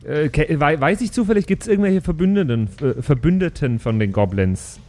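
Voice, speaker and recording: Guy with a lighter higher voice, chatting and role playing at a pen and paper game. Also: lively intonation, brisk, a little mellow. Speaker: tabletop game player. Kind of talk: improvised in-character role play, casual chat.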